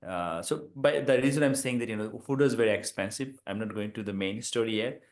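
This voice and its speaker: Deep-voiced Bangladeshi man telling a story. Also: fairly quick. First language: Bengali. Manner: earnest, rambling, fond of "you know". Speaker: guest, communication professor. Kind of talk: casual conversation, personal storytelling.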